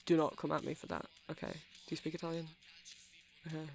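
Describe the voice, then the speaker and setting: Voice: medium pitch, completely flat delivery, a little fast, a little mellow, close to an everyday voice. A performer playing a nerdy, earnest vampire who sells music in a shop, in a hesitant, rambling ASMR roleplay full of self-corrections. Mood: chatty, slightly flustered. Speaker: shop seller.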